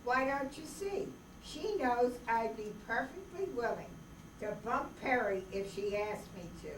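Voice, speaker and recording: A woman's voice: a little high, very animated, unhurried. A woman playing a polite, lovesick gunman with folksy phrasing in an old-time radio drama. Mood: earnest, smitten. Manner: drawling folksy grammar, courteous while threatening. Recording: roomy, noisy, distant microphone stage recording.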